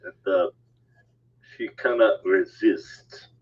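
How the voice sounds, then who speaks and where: A man co-hosting a casual live stream, joking deadpan and stammering through restarts. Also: low voice, slow. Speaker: co-host. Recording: tinny phone-like line.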